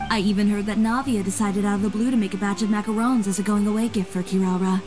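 A woman's voice: mid-range voice, steady tone, quick pace, close to an everyday voice. Woman doing a confident young lady designer in a game, composed n matter of fact, music playing behind. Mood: composed, admiring. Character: poised, self-assured young woman fashion designer running a boutique. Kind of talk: scripted video game voice acting.

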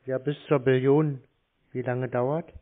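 Deadpan man on a thin phone line. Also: mid-range voice, measured pace. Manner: deadpan, terse, teasing. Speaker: scam-baiter taking a sales call. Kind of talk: casual phone conversation. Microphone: telephone.

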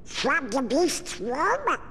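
A woman voicing a motherly older enchanted teapot in a cartoonish game, kindly and drawn-out. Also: high-pitched, big pitch swings, pushed and bright, exaggerated voice. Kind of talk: cartoon-style game acting. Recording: reverberant hall, faint background noise.

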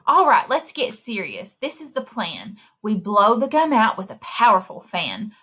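A woman in her thirties reading a picture book aloud to children in an expressive, exclaiming way, speaking directly to her young listeners, with a slightly husky voice. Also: mid-range voice, normal speed. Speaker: children's librarian and story time reader. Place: indoor room, recorded screen share with webcam.

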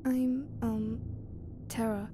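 A woman's voice: on the high side, level tone, drawn-out words, noisy background. A woman playing a bewildered, innocent amnesiac stowaway in a sci-fi audio drama, hesitant and naive in her speech. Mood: unsure, timid.

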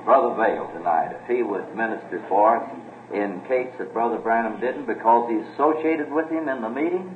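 A man with a fairly low voice addresses a church as its pastor. He is earnest, wordy and deferential in an informal way. The old recording is echoey and phone-thin, with some noise underneath. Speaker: pastor introducing a guest preacher. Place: church meeting room with congregation. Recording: muffled noisy old tape, echoey hall.

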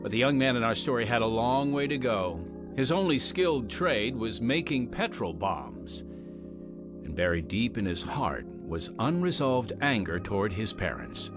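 A man's voice: mid-range voice, big pitch swings, moderate pace. A man hosting and narrating an audio drama podcast in a scripted storytelling style. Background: music under the voice.

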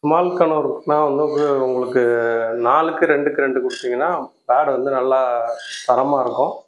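A man's voice: mid-range voice, steady tone, fairly quick, highs cut off. A man, a bird breeder, plainly explaining his birds and their care to an interviewer. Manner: plain, matter-of-fact explaining. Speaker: bird breeder and farm owner. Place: indoor aviary with cages. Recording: echoey room.

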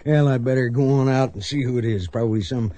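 A man's voice: mid-range voice, quick pace, warm tone, natural voice. An actor playing a steadfast, protective frontier father in a radio western, plain-spoken and earnest. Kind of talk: radio western drama acting.

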